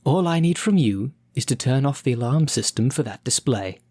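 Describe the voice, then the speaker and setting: A man acting out a theatrical supervillain thief in an audio roleplay. He is smug and menacing, yet mockingly polite. His mid-range voice moves deliberately, savoring every taunt. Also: mid-range voice, lively intonation, dark tone, no echo, lightly acted. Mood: condescending. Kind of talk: audio roleplay addressed to the listener.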